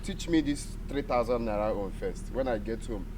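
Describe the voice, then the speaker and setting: A man's voice: medium pitch, lively intonation, moderate pace, close to an everyday voice. A man with a Nigerian accent acting a clumsy, aggrieved dance pupil who argues back and repeats himself, in a comedy drama. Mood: protesting. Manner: stammering repetition when worked up. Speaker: actor.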